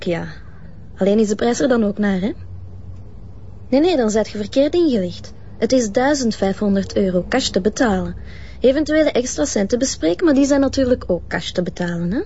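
A woman's voice: on the high side, animated, moderate pace, mellow, slightly rough. A Flemish actress playing a cool, businesslike call girl in a crime drama, talking in a guarded, matter-of-fact way as if on the phone. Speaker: actor. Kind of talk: naturalistic crime drama acting. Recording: slightly muffled sound.

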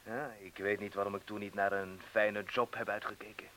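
A gruff former mineworker in a radio drama, low and forceful in voice. He is boastful yet fearful underneath, talks in rough colloquial bursts and prods with questions. A faint hiss lies over the old recording. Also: level tone, moderate pace. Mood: weary confession. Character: rough ex-miner, boastful yet fearful, confessing his cowardice. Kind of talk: radio play acting.

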